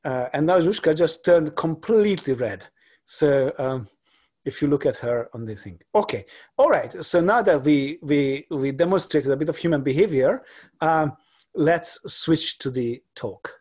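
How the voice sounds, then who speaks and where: A man in his forties from Hungary, giving an online lecture from a home room over a laptop webcam, talking haltingly with many fillers and restarts. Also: a little high, lively intonation, a little mellow. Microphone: laptop webcam on video call.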